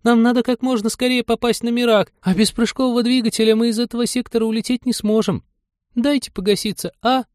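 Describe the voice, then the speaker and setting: Man putting on a high-pitched young woman. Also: fairly quick, warm tone, dry recording, studio quality, lightly acted. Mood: pleading. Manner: pleading, emotional. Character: earnest young woman space traveller.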